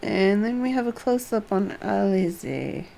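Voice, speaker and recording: Female game streamer, slow and deliberate read-aloud narration with muttered commentary. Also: mid-range voice, lively intonation, vocal fry, warm tone, slight background noise. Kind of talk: reading game dialogue aloud on a livestream.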